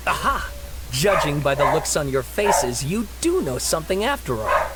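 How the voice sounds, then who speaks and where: A man's voice: fairly high pitch, very animated, moderate pace. A man playing an earnest, impatient young student in an anime game, speaking with hesitant grumbles. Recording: very noisy home gameplay capture.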